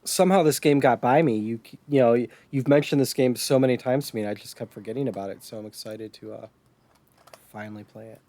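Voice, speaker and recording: A male podcast co-host with a mid-pitched voice, chatting informally. The sound is dry, with no room reverb around him. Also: fast, dark tone. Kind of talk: casual retro gaming chat.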